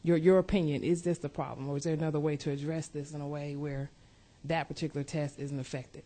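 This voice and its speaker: A woman's voice: sits low, fairly even intonation, fast, dark tone. A woman committee member asking a question, restating it over and over to get at her point. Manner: probing, rephrases her question repeatedly.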